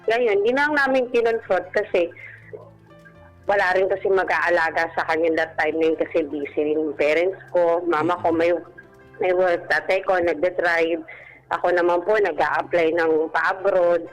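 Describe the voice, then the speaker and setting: A woman's voice: on the low side, fairly even intonation, moderate pace, rough recording. A Filipino woman politely telling a personal story as a caller on a radio show. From Philippines. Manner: polite, deferential, using respectful particles. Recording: radio broadcast with music underneath. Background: background music.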